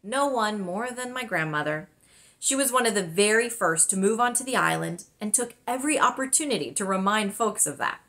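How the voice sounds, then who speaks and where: Bright-voiced woman reading a storybook. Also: mid-range voice, a little fast. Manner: expressive, clearly dramatized delivery. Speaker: author reading aloud from a children's novel. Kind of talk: scripted storybook narration, expressive read-aloud.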